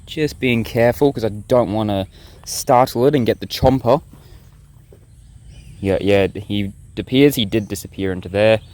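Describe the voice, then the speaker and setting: A man's voice: fairly low pitch, moderate pace. Young male vlogger, casual and rambling, outdoor handheld sound with insect noise behind. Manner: rambling, relaxed, talks straight to viewers. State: sick, blocked nose and sore throat. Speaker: bushcraft vlogger. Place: outdoors in the bush.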